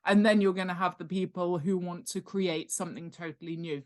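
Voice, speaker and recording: A woman's voice: on the low side, a little fast. A middle-aged woman talking informally as an expert guest, explaining with emphasis and checking in with the listener. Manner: animated, emphatic, checks in with "right?" and "okay?". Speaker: guest author and world-building expert. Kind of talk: informal explanatory interview talk.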